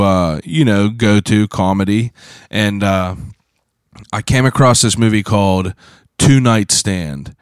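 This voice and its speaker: A man in his thirties from Pittsburgh, hosting a podcast in a casual, rambling solo talk, hoarse from shouting, swearing freely and filling gaps with "uh". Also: on the low side, dry recording, clean studio sound. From Pittsburgh, USA. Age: 30s. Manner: rambling, profane, self-deprecating; frequent "uh" fillers and throat clearing. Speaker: podcast host.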